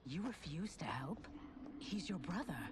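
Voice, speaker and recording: Haughty, low-voiced warrior empress. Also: female voice, expressive, unhurried, background noise. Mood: resolute. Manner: formal, haughty, firm. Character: proud, resolute warrior empress, coolly unimpressed. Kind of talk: video game cutscene acting.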